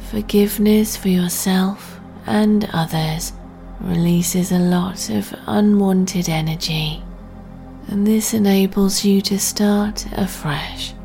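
A woman hosting a guided sleep meditation, speaking in a soft, breathy, soothing and deliberate way. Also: mid-range voice. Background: music.

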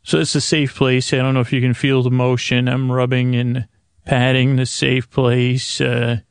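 A man hosting a bedtime podcast in a meandering, halting monologue, often stammering and correcting himself, with a gravelly, creaky voice. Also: medium pitch, flat delivery, dry recording, studio quality. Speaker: podcast host. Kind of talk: rambling bedtime-story monologue to help listeners sleep.

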